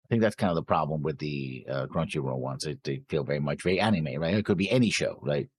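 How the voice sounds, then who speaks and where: A man's voice: extremely low pitch, lively intonation, quick pace, slightly rough, dry recording. A man co-hosting an anime reaction show, laid-back and agreeable, talking with lots of fillers. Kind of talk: casual discussion.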